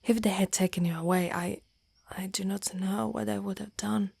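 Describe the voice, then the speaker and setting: Woman acting a tender female dragon knight, possessive and lovestruck, breathy half-whisper, dry close mic, ASMR roleplay. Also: medium pitch, steady tone, darkish tone, no echo. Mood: anxious, tender. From Italy. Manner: halting, formal, archaic phrasing. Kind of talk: romantic ASMR roleplay, intimate and partly whispered. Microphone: close microphone.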